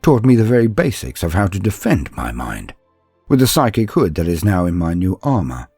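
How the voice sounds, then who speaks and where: A man portrays a scarred, earnest psychic apprentice recounting his life in an audio story. The voice is low and husky, dramatic and measured, with soft music behind it. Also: huge pitch swings, dry recording, exaggerated voice. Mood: wistful. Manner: dramatic, measured first-person recollection. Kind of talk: scripted audio story narration.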